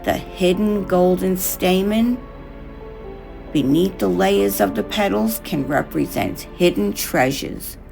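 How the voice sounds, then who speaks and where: A woman hosting a craft tutorial, narrating from a script in a measured, reflective way, in short phrases. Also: medium pitch, creaky, over music.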